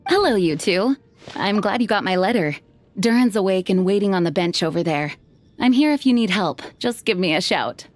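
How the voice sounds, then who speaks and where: A woman voicing a calm, caring knightly leader in a game, speaking in a composed, reassuring way. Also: mid-range voice, normal speed, dry recording, close to an everyday voice. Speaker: game character. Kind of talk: video game voice acting.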